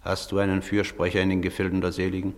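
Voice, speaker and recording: An actor playing a stern judge of the dead in a radio drama, speaking gravely and formally. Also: male voice, low voice, monotone, fast talker. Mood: solemn, stern. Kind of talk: theatrical radio drama acting. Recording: long cavernous hall reverb.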